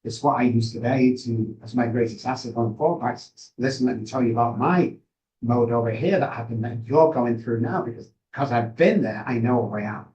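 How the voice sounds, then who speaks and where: A man with a Manchester accent, a guest on an interview, talking in a brisk, emphatic way from a home office over a video call. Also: medium pitch, darkish tone. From England. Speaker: guest, addiction specialist. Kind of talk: conversational interview. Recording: distant from the mic.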